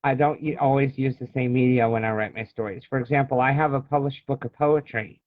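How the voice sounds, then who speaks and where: A man from the United States giving a casual interview as an author, often halting and searching for words, over thin phone-like call audio. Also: mid-range voice, steady tone, no room sound.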